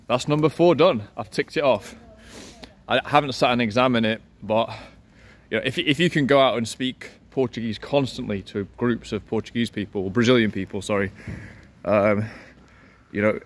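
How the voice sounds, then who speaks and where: A man in his thirties, a language teacher, talking in a playful, self-assured way in a casual vlog recorded outdoors. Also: mid-range voice. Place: outdoors in a city street, by a wall.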